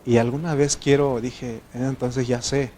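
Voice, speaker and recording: Mexican guy preaching, spanish is his second language, gets loud then drops real quiet, plain middle pitch. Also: normal speed, a little hiss or hum. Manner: animated, swinging between loud exhortation and quiet asides. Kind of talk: sermon.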